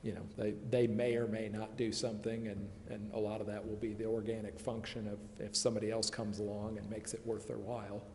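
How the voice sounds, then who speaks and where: In a reverberant chamber with faint noise, a man with a lowish voice explains matters at a public meeting. He hedges often and repeats phrases as he works toward his point. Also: little pitch movement, fairly quick, few pauses, darkish tone. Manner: hedging, repeats himself. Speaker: presenter advising a city committee. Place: council chamber.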